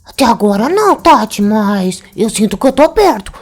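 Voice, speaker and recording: A woman's voice: on the high side, very animated, normal speed. A woman with a Brazilian accent voicing a self-important little male cat sidekick in a children's cartoon. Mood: boastful. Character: boastful little male cat, detective's assistant.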